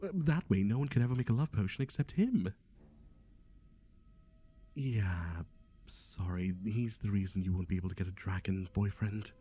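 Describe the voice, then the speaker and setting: A man's voice: lowish voice, moderate pace, long pauses, mellow, breathy edge. A man playing a chatty, playful matchmaker in an ASMR roleplay, with a theatrical, teasing, conversational delivery. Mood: theatrical, amused. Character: chatty, playful male matchmaker for obsessive yandere boyfriends.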